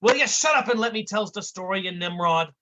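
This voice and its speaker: A man's voice: sits high, animated, brisk, bright, forceful tone, voice pushed up. A man playing a short-tempered male comedian, brash and growling. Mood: irritated. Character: gruff short-tempered male vaudeville comic.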